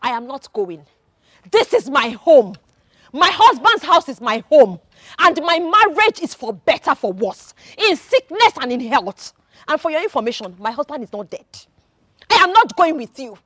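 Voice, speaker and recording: Loyal indignant wife, Nigerian accent, piercingly high voice, animated, emphatic repetition, film melodrama. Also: female voice, moderate pace, no echo, studio quality. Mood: indignant, defiant. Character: fiercely loyal, indignant wife defending her husband.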